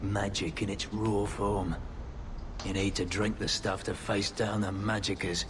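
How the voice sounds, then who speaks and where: A low-voiced, burned-out ex-knight from a fantasy video game, played by a male actor. He sounds gruff and world-weary, talking in a sardonic, colloquial way like a washed-up informant. The game audio has a noisy, rumbling bed behind him. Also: flat delivery, unhurried, close to an everyday voice. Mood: weary, bitter. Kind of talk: video game dialogue acting.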